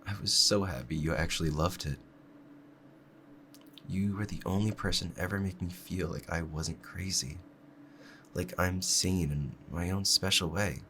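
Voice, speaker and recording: Guy doing a low soft clingy fox spirit husband, adoring yandere asmr roleplay, some background noise. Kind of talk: intimate ASMR roleplay addressed to the listener.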